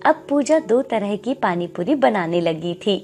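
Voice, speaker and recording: A woman narrates a scripted moral tale. Her pitch is middling, and soft music runs faintly behind the narration. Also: expressive, measured pace.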